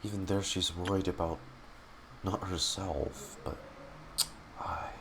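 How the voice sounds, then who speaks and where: Very deep-voiced man streaming a game. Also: little pitch movement, takes it slowly, background noise. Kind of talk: reading game text aloud and reacting.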